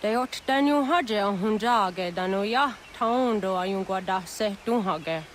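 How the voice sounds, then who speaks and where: A woman playing a grave village elder woman in a video game, speaking in a measured, deliberate way. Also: mid-range voice, slight background noise, natural voice. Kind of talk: scripted video game cutscene acting. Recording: faint outdoor nature ambience.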